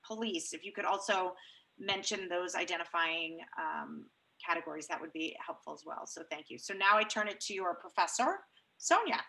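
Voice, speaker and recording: A woman with a bright, forward voice on the low side, moderating an online public meeting. She speaks in a measured, halting way, as a chair passing turns along on a video call. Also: poor audio.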